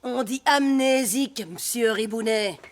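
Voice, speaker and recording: Woman as a high, scolding housewife. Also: measured pace, natural voice. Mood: bossy, worried. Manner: colloquial, haughty, scolding. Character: scolding, stingy middle-aged working-class French shopwife.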